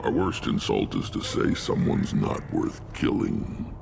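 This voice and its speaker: Very deep, gravelly alien warlord. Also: male voice, big pitch swings, very noisy, heavily acted. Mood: grave, resolute. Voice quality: gravelly, rumbling. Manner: blunt, commanding, measured. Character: gruff, battle-worn alien warlord, a visionary clan leader. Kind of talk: video game character acting.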